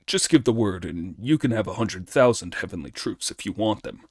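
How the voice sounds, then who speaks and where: Man voicing a low, courteous old celestial messenger. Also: animated, moderate pace, darkish tone, husky, dry recording, studio quality. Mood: obliging. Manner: deferential, earnest. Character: courteous, apologetic male planet spirit, old messenger. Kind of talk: storybook character dialogue.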